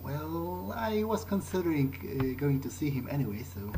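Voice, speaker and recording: A man's voice: on the high side, sing-song, normal speed, warm tone, slight background noise. Hesitant man playing a game in character. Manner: hesitant, musing to himself. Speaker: gaming video maker. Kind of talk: in-character game playthrough commentary. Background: video game ambience.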